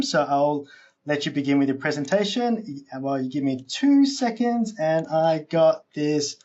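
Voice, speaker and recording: Casual host with muffled call audio. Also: medium pitch. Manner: casual, stumbling. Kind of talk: introducing a speaker online.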